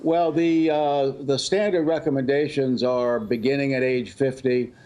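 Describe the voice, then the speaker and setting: Halting, formal male doctor. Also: medium pitch, steady tone, darkish tone, dull audio. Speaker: doctor, cancer institute director, interview guest. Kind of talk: measured expert interview answers.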